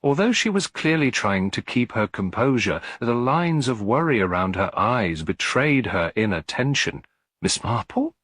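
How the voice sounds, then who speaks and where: A man's voice: mid-range voice, sing-song, moderate pace, hardly any pauses, fairly bright tone, no echo. A man narrating a scripted cozy mystery story as an audiobook reader.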